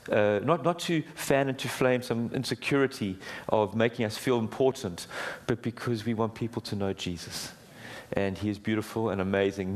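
A middle-aged man preaching a sermon in a church, with a booming voice and an earnest, conversational delivery full of small restarts. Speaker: guest preacher. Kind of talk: sermon with prayer. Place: church hall.

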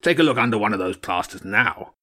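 A man's voice: on the low side, animated, brisk, sharp tone. A man voicing a stern, mocking old badger king in an audiobook story, with a blunt, commanding manner. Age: older. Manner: blunt, scornful, commanding, short barked phrases.

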